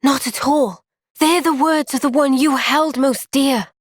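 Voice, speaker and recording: Young female game soldier, high voice, unhurried, gentle, earnest, resolute. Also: fairly even intonation, no echo, clean studio sound. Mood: calm, gentle. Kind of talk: video game cutscene voice acting.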